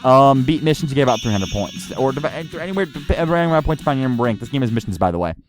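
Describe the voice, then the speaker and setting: A man from the United States reviewing a video game in casual, rambling, halting, sweary unscripted commentary. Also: mid-range voice, animated. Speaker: gaming reviewer. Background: game music.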